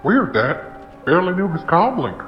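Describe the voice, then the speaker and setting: A man's voice: high-pitched, sing-song, moderate pace, reverberant, highs cut off, soft background music. A man playing a nosy, cranky old neighbor in a noir audio drama, talking like a colloquial old-timer. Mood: gruff, curious.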